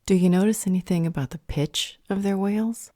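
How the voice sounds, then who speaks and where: A woman playing a serious, analytical female magic user in an audio roleplay, with a measured, clinical delivery. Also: medium pitch, dark tone, no echo, clean studio sound, lightly acted.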